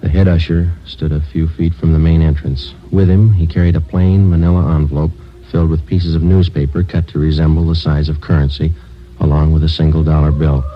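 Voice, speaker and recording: An actor in a 1950s radio police drama playing a terse, stoic sergeant who narrates cases in a clipped, matter-of-fact way. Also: male voice, a bass voice, flat delivery, a little fast. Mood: deadpan. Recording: muffled vintage radio recording with music.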